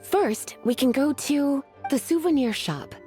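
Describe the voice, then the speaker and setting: A woman's voice: high-pitched, lively intonation, a little slow, dark tone, faint music behind. Lilting, teasing woman librarian. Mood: teasing, playful. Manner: coy, playful, sighing. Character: teasing, languid woman librarian with flirtatious, lazy charm. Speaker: game character. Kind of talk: video game voice acting.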